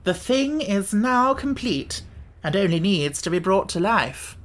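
A storybook reader voicing an excitable, pompous male giant talking insect in an exclamatory, insistent way. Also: medium pitch, measured pace, voice pushed up. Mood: admiring.

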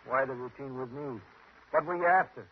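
Desperate gunman played by a man: American, mid-pitched, intense, slangy menace, for a dull-sounding vintage radio thriller. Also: a little fast, close to an everyday voice. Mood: cold, bitter. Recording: muffled, noisy 1950s radio recording.

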